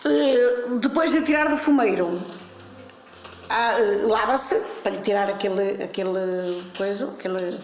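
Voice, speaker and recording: A woman's voice: medium pitch, measured pace. A woman, explaining a craft step by step in a documentary interview, hesitant and repeating words as she goes. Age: 70s. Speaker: interviewee, traditional fish curer. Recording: echoey room.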